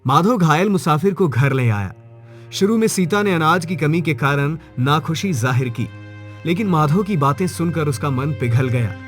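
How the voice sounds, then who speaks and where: A man's voice: a little high, expressive, normal speed, faint music behind. A man narrating a scripted moral story as a dramatic storyteller.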